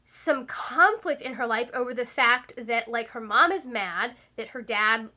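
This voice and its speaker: Young woman book vlogger, high-ish bright voice, loose rambling chat full of "like". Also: measured pace. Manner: rambling, many fillers and self-corrections. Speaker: book reviewer. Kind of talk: casual book review chat.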